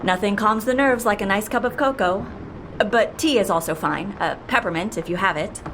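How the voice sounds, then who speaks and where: A woman playing a brisk, talkative, blunt pilot and death-faking agent in an audio drama, with a wry, animated manner. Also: mid-range voice, a little hiss or hum, lightly acted, poor audio. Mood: businesslike, puzzled. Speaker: actor in an audio fiction series.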